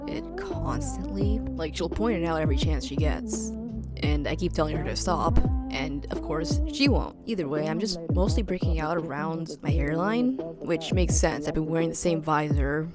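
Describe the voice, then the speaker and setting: Low-voiced young woman vlogging at home. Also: very animated, loud music behind the voice. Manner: quick talker who stumbles over words. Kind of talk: casual chat to camera. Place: at home, indoors.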